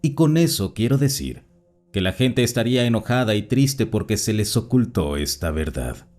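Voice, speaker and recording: A man calmly narrating written personal accounts as a scripted story reading. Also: lowish voice, moderate pace. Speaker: narrator reading listener stories. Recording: voice-over with faint background music.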